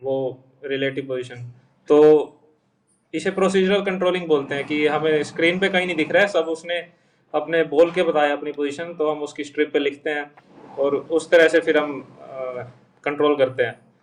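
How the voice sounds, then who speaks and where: A young man with a fairly high voice, sitting away from the microphone. He explains things informally and haltingly, going step by step and rephrasing as he goes. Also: fairly even intonation, a little hiss or hum. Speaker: interview guest, junior air traffic controller. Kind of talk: informal explanation in Hindi mixed with English terms. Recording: voice distant from the microphone.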